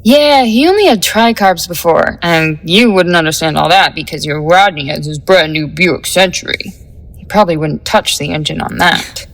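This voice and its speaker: A woman's voice: lowish voice, moderate pace, a bit bright, rough recording. A young woman acting in an audio drama as a cool, scheming, slangy teenage girl in a 1950s gang, offhand and sardonic.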